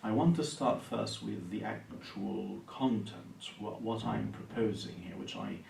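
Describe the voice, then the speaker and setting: A man from Palestine speaks in a low, gravelly voice, measured and thoughtful, often pausing on fillers. He is recorded in an echoey room from some distance, with faint hiss underneath. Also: darkish tone. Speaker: university lecturer and Anglican priest. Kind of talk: interview answers.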